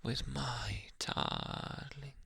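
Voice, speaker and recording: Man acting a very slow, creepy possessive anime boyfriend. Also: steady tone, bright, forceful tone, no echo, heavily acted. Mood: coaxing, possessive. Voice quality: breathy whispering mixed with low, creaky voice. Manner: menacing, teasing, drawn-out coaxing endearments. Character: jealous, possessive, unhinged young boyfriend, anime yandere. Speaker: ASMR roleplay performer. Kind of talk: ASMR roleplay, slow intimate speech slipping into whispers. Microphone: close-up ASMR microphone, dry.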